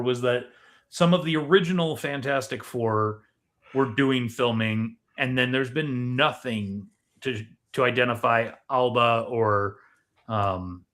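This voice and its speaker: Man, medium pitch, podcast co-host, casual film talk, explanatory, pauses to think. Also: fairly bright tone.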